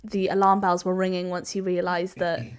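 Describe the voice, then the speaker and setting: A woman's voice: on the low side, level tone, moderate pace, clean tone. A young Welsh woman, a Welsh speaker, hosting a casual podcast interview at her family home in a chatty manner. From Wales. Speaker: podcast host.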